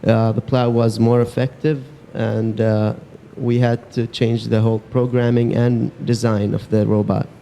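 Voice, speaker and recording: A young man explains a robot design in a halting way, with fillers. His voice is flat and gravelly, with a dark, mellow tone. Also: medium pitch, a little hiss or hum. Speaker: student presenter. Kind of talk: presenting a project.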